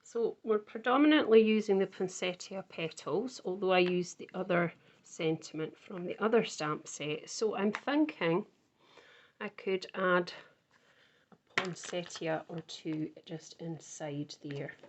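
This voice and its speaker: Woman, croaky and slow-paced, casual crafting tutor who thinks out loud. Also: fairly low pitch, slight background noise. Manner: chatty, thinks aloud while working. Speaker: card-making crafter.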